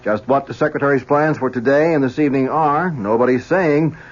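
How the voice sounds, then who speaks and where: Male radio announcer and newsreader, mid-pitched, formal scripted delivery, dull 1970s broadcast sound. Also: brisk.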